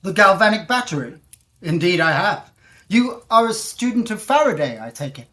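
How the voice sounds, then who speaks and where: A woman playing a confident, educated gentleman traveller, telling the tale formally and deliberately in a period audio drama. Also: medium pitch, big pitch swings. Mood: courteous. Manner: formal, articulate, deliberate storytelling.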